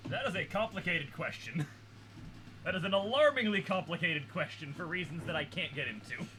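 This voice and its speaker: A man's voice: sits high, lively intonation, a little fast, bright, forceful tone, slight background noise. A man chatting casually as a guest reactor, explaining things as a fan. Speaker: guest reactor who knows the franchise.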